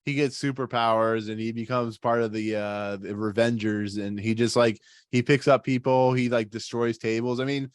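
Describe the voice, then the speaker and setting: A man's voice: medium pitch, level tone, moderate pace, few pauses, clear, resonant tone, no room sound, clean studio sound. A man hosting a podcast, discussing a TV episode casually and rambling with lots of "like" and "I mean.". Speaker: podcast host.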